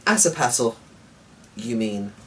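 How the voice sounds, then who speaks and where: Storybook lord of the manor, a man performing: big, grumbling, reluctant, slow-paced, off-mic. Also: lowish voice, lively intonation, sharp tone. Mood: dismissive. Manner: gruff, dismissive muttering. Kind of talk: storybook dramatic reading. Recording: distant mic with faint noise.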